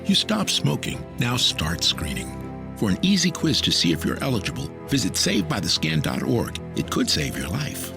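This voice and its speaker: A male announcer reads a scripted public service spot. His gravelly voice moves up and down expressively, with music underneath. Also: mid-range voice, wildly swooping pitch, normal speed.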